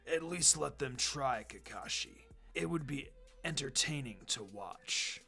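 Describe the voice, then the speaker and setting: A man voicing a menacing enemy swordsman in a story reading, with a hushed, breathy, taunting delivery. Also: medium pitch, expressive, soft background music, full character voice. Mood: cruel amusement. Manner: taunting, drawn-out. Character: menacing, mocking male enemy swordsman ninja.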